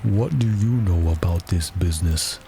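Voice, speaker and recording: Storybook king, male reader: low, mellow, pompous and dim, weighty judicial manner. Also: moderate pace, creaky, soft background music. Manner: grave, self-important. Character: pompous, slow-witted old king as judge.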